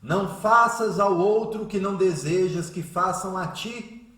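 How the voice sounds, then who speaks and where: A man playing a composed, devout teacher who speaks with gentle, reasoned oratory in a radio drama. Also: sits high, measured pace, echoey room. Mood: firm, reasoning. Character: composed, devout, soft-spoken Spiritist teacher, man about thirty.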